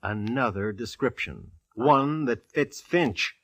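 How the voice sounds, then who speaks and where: A man's voice: medium pitch, animated, takes it slowly, a bit bright, dry recording, heavily acted. An American man acting a smitten, teasing police captain in a 1940s radio drama. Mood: eager, explaining. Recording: vintage radio broadcast recording.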